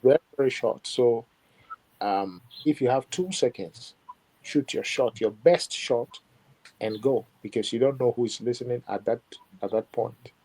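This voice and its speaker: A man being interviewed as a musician, talking in a measured, reflective way with many "you know" asides. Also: mid-range voice, warm tone, poor audio. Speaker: musician guest. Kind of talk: interview conversation.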